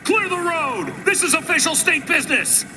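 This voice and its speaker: An actor playing an aggressive state security officer shouting orders in a video game cutscene. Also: male voice, extremely high pitch, moderate pace, background noise. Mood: aggressive, urgent. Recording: distant shouting under loud music, vehicle.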